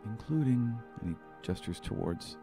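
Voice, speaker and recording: A man's voice: lowish voice, normal speed, over music. A man narrating an improvised tabletop roleplaying game as game master.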